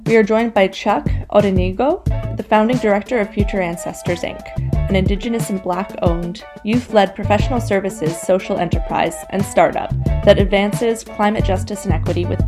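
Woman, scripted podcast intro, smooth even reading, mid pitch, music clearly playing underneath. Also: moderate pace.

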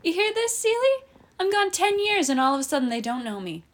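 A woman's voice: very high-pitched, sing-song, brisk, voice pushed up. A woman reading as a purring older gangster man. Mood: mocking outrage. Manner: purring, patronizing. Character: smug menacing older male gangster. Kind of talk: reading own fiction aloud.

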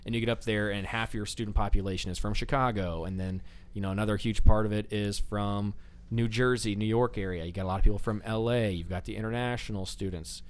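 A man's voice: on the low side, steady tone, fast talker, hardly any pauses, clear, resonant tone. A middle-aged man from Indiana, a teacher hosting a casual school podcast, who rambles with many restarts and fillers. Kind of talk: casual chat.

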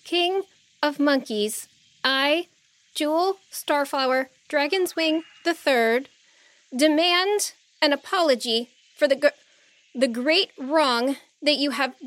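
Woman voicing a high-pitched young dragonfly princess. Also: slow, a bit bright, no room sound. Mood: nervous, formal. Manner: formal, earnest declarations. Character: young dragonfly princess, brave but nervous. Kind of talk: children's storybook character voice.

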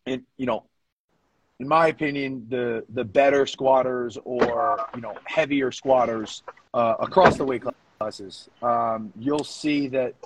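A man's voice: medium pitch, slight background noise, low-quality recording. A man co-hosting a podcast in casual discussion, giving coaching opinions and repeating his points for emphasis.

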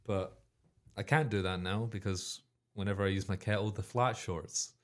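A young man with a very low voice co-hosts a student radio show from the studio mic. He rambles, corrects himself and cracks jokes as he chats. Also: fairly even intonation, moderate pace. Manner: rambling, self-correcting, joking. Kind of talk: casual student radio chat. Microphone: studio microphone.

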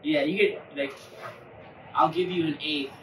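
A man's voice: a little high, fairly even intonation, a little slow, recorded from a distance. A man speaks in a loose, rambling storytelling way, swearing freely. He sounds set back from the microphone in an echoey room, with faint noise behind him. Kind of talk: casual chat.

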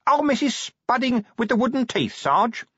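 Man voicing a high-pitched, guileless police underling. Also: sing-song, moderate pace, fairly bright tone, slightly rough, no room sound, dull audio, heavily acted. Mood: horrified fascination. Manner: deferential, innocent-sounding, colloquial. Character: scruffy, guileless male police underling, cheeky and defensive. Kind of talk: audiobook character dialogue.

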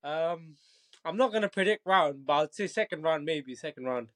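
A man's voice: on the high side, expressive, normal speed. A man in his twenties, a fighter from Bangladesh, chatting casually as an interview guest and often asking "you know what I mean". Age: 20s. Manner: laid-back, repeats "do you know what I mean", laughs easily. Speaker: Muay Thai fighter, guest.

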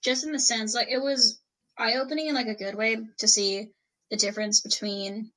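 A young woman speaking softly and hesitantly in a casual chat. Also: on the high side, fairly even intonation, normal speed, poor audio. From United States.

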